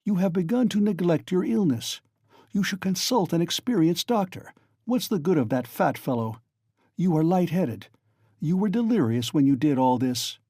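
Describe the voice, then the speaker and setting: Guy doing a sly old-fashioned magistrate, wheedling and insinuating, mellow tone, dry room. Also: a little high, normal speed, no room sound, pitched above the performer's own voice. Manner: wheedling, insinuating, lively questioning. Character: sly, genial middle-aged male magistrate.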